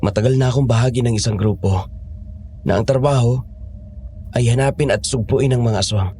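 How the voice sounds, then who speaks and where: An earnest man with a medium-pitched voice an actor in the part, playing a monster hunter undercover as a cook. He sounds guarded, as in a horror radio play, over faint noisy ambience. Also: brisk, mellow, natural voice. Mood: grave, confiding. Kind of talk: Tagalog horror audio drama acting.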